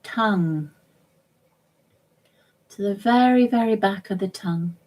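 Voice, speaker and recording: A woman leading a guided relaxation as a yoga nidra instructor, speaking gently and soothingly in short, measured phrases with long pauses. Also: medium pitch.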